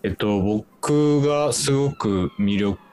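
A man's voice: fairly low pitch, takes it slowly, a little mellow. A man in his twenties giving his opinions as a guest on a radio talk show, speaking in hesitant, piecemeal phrases. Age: 20s. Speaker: show staff member and guest presenter.

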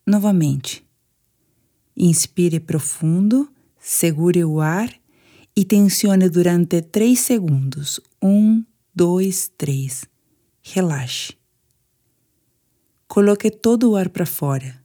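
A woman's voice: lowish voice, slow pace, pauses often, mellow. A woman with a Brazilian Portuguese accent guides a sleep meditation in a soothing, instructive manner.

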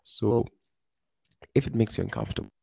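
A man speaking as a podcast guest, giving earnest advice in a halting way, with frequent restarts. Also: lowish voice, flat delivery, creaky, warm tone, breathy edge, no echo. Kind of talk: casual advice talk.

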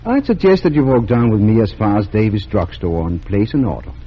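A kindly, husky small-town doctor. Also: male voice, fairly low pitch, big pitch swings, fairly quick. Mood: calm. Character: kindly middle-aged male town doctor. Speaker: doctor character. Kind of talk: 1930s radio drama acting. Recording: dull lo-fi old radio recording.

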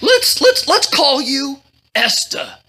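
A woman's voice: high voice, huge pitch swings, takes it slowly, very noisy, voice pushed up, heavily acted. A woman voicing a fretful, protective male guardian, anxious and exclamatory, in a comic story. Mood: fretful, insistent. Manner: anxious, exclamatory, Yiddish-flavored.

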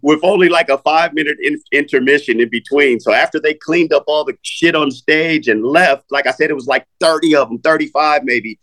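A man's voice: a little high, fairly quick, few pauses, a bit bright. A middle-aged man, a rapper, talking casually in an interview, laid-back, swearing freely and filling his talk with "you know" and "man.".

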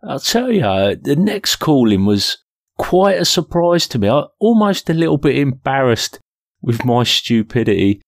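A man's voice: mid-range voice, big pitch swings, unhurried, no echo, studio quality. A man hosting a podcast, talking casually and informally in a self-deprecating way. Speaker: podcast host. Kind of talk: casual solo podcast talk.

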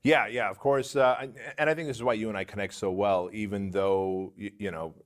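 A man's voice: on the low side, fairly quick. Upbeat man telling podcast stories. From Armenia. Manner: animated storyteller, upbeat show opener. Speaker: podcast co-host. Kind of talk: casual podcast conversation. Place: podcast studio with cameras.

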